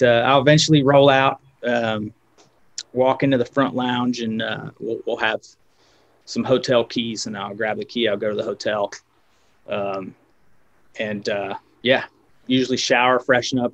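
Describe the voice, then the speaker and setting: A man's voice: medium pitch, level tone, moderate pace, lo-fi sound. Hesitant man on a video call. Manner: hesitant, many fillers and restarts. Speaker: guest guitarist. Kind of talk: casual video-call interview.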